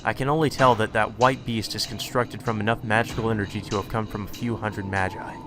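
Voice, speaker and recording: A man with a fairly low voice reads visual novel narration aloud for a let's play. Game music plays clearly behind him. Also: fairly even intonation, a little fast.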